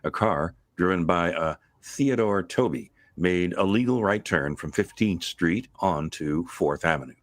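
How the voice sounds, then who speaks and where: A man playing a grave, matter-of-fact storyteller, narrating a vintage radio suspense tale in a formal, measured way. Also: sits low, lively intonation, slight background noise. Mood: grave, factual. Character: grave, matter-of-fact male storyteller reading an accident report.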